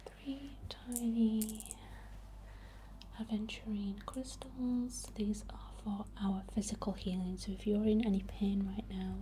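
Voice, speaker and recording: A woman whispering breathily and gently as an ASMR host, chatting and guiding a healing ritual in an unhurried way. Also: mid-range voice, level tone, slight background noise.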